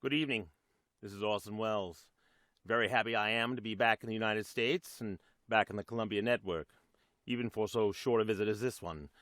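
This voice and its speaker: An actor playing an urbane, droll host of 1940s radio ghost stories, speaking in a deliberate, grandiloquent way. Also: male voice, lowish voice, a bit bright, no room sound, clean studio sound, natural voice. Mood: genial, measured. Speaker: program host.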